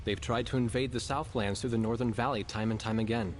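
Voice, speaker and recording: A man playing a reflective war photojournalist, narrating past events in a measured, somber way for a cinematic game. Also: medium pitch, steady tone, lightly acted. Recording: music behind the voice.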